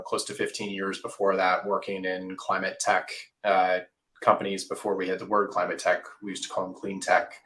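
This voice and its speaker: A man's voice: low-pitched, vocal fry, a bit bright. A man with a cold, his voice a little scratchy, introducing himself on a webinar in a loose, rambling way. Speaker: venture investor.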